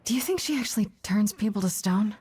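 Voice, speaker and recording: A woman's voice: medium pitch, animated, quick pace, warm tone, breathy edge, dry recording. A woman acting a determined young heroine in a video game, earnest and dry, with an understated natural delivery. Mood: curious.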